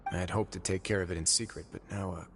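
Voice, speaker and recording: An actor playing a composed, calculating adult male prison warden in a video game, speaking calmly with quiet wryness. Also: deep voice. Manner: calm, measured, quietly wry. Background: faint game music.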